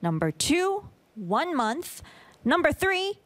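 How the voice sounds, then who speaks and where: A woman's voice: sits high, sing-song, takes it slowly, a bit bright. A woman hosting a quiz in an encouraging way, chatting with her guests and echoing what they say. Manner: encouraging, echoes guests' answers. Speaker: quiz show host. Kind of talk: lively hosted quiz chat.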